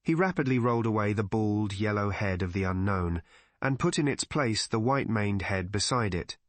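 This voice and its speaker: A man's voice: lowish voice, expressive, moderate pace, no room sound. Man narrating a classic detective story. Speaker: audiobook narrator.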